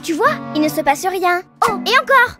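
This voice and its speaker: A woman voicing an excitable, stubborn and dramatic little girl baby doll in a children's cartoon, speaking in an emphatic, childlike way. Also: very high-pitched, moderate pace. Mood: excited, emphatic. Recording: music behind the voice.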